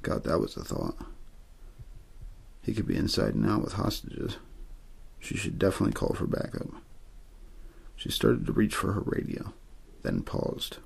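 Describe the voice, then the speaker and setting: A man with an extremely deep, heavily creaky voice reads a story in ASMR style. His tone is very husky and rough. He reads as an amateur aiming to lull the listener to sleep, without theatrics. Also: wildly swooping pitch, measured pace, long pauses, a little mellow. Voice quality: very low, gravelly, husky. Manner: untheatrical reading meant to lull to sleep.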